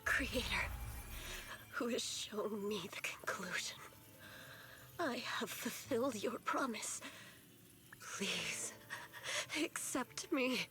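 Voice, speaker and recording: A woman's voice: a little high, lively intonation, slow, long pauses, whispered. A woman playing a fanatical villain cultist who speaks solemn, reverent monologues to her Creator in a video game cutscene. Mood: pleading. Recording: game audio with music underneath.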